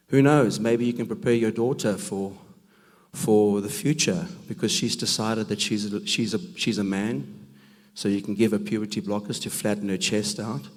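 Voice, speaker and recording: Man, hoarse, gravelly, fairly low, emphatic rhetorical preaching, reverberant church hall. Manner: emphatic, full of rhetorical questions. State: hoarse, rough voice from a cold. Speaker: conference speaker. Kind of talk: preaching talk at a men's conference. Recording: long reverb of a large hall.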